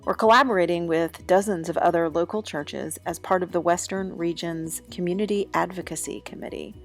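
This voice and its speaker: A woman giving a steady, informative scripted narration about a church food ministry. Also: fairly low pitch, a little fast, faint music behind. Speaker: ministry volunteer.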